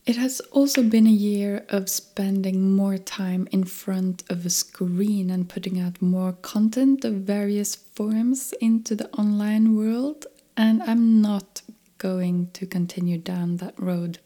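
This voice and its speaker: Female podcast host; unhurried, dark-toned, soft and measured delivery. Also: mid-range voice, fairly even intonation. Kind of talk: scripted podcast intro and interview. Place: home in Stockholm.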